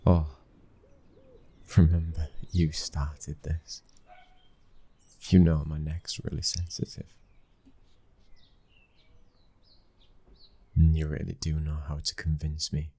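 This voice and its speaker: A man with a British accent playing a possessive young mafia boss's son, speaking in a low, intimate, commanding murmur for a boyfriend ASMR roleplay. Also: little pitch movement, unhurried, pauses often, mellow, husky, full character voice. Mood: teasing, yielding.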